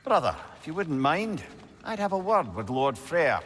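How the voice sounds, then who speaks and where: An actor playing a clever, genial old advisor, courtly and glib, in a video game. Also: male voice, on the high side, big pitch swings, normal speed, fairly bright tone, in a large hall, a little hiss or hum. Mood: courteous. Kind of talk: cinematic video game acting.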